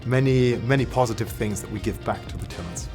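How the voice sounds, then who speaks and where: A middle-aged man, chair of a prize committee, speaking in an interview in a measured, slightly halting way. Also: mid-range voice. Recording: music playing underneath.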